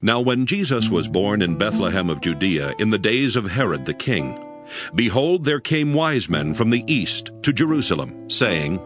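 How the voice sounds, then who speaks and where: A man narrating scripture from a script in a solemn, expressive style. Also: lowish voice, normal speed. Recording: music behind the narration. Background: music.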